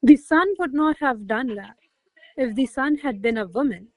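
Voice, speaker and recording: A woman's voice: high-pitched, lively intonation, normal speed, a little mellow, dry recording, rough recording. A woman playing a proud, shrewd countess in a classical radio play, speaking formal verse with a commanding, theatrical manner. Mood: coolly teasing. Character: proud, shrewd noblewoman teasing her secretary. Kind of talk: verse stage comedy, recited as radio play.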